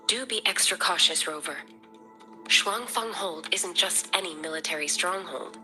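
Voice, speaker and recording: An actress playing a composed, caring academy medic in a video game, speaking in a measured, formal and earnest way. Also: female voice, fairly low pitch, bright, forceful tone, faint music behind. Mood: grave, earnest. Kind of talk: scripted video game dialogue.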